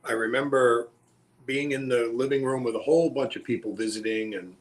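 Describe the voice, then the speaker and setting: A man's voice: medium pitch, a little fast, rough recording. A man speaking as a guest, casually chatting and telling personal stories. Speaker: guest storyteller. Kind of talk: casual chat and personal storytelling.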